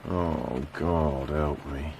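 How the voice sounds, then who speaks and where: An actor playing a wry, grumbling detective stuck on a night stakeout in a radio crime drama. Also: male voice, a bass voice, fairly even intonation, very slow, gravelly, a little mellow, slight background noise, heavily acted. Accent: British English. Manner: dry, grumbling.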